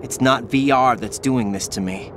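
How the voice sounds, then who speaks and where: A medium-pitched young male soldier from a video game, performed by an actor. He is earnest and intense, with a brooding, haunted edge to his questions. Clear ambient noise runs behind him. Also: normal speed, close to an everyday voice. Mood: troubled, brooding. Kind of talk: video game cutscene voice acting.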